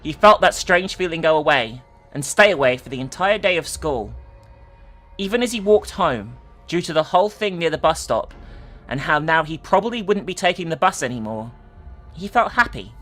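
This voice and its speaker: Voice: mid-range voice, sing-song, normal speed, fairly bright tone, soft background music. A person giving a theatrical dramatic reading of an online horror story, with sweary, mocking asides. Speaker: online video host.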